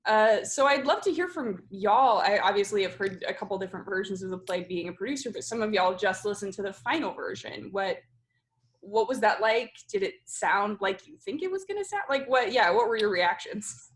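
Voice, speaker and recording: A woman's voice: mid-range voice, animated, a little fast. A woman who directs theatre, hosting an informal group discussion in a casual, conversational style. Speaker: host, theatre director and producer.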